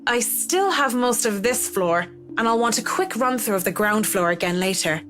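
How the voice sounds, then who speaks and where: A woman acting a gentle, composed young professional in a video game, speaking in a measured, polite way. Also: on the high side, expressive, natural voice. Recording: music behind the voice.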